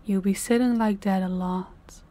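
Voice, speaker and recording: A woman playing a dominant, cold mafia boss in a roleplay audio, speaking to the listener in a deliberate, condescending, commanding way. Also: mid-range voice, fairly even intonation, mellow, lightly acted. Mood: condescending, strict.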